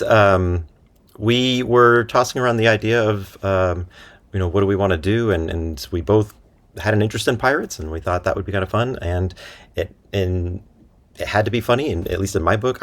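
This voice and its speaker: Man, deep pitch, casual interviewee, good-humoured, hesitant phrasing. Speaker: interview guest, writer and voice actor.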